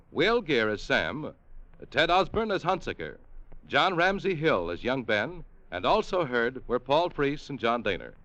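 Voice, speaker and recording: Lively high-pitched American radio announcer man. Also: no room sound, dull audio. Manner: polished scripted delivery. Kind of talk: 1950s radio announcing and sponsor copy.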